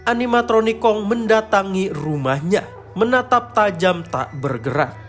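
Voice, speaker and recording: A man's voice: high voice, animated, unhurried, a bit bright. A man narrating a scripted horror story. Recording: music behind the voice. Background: clear background music.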